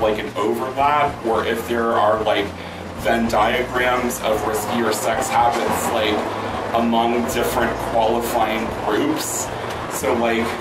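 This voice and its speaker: A man hosting a vlog, answering viewer questions in casual chat, hoarse with a worn-out voice and rambling with many fillers and restarts. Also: lowish voice, heavy background noise. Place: outdoors near a pool with loud music. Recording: roomy, mic at a distance, loud music behind.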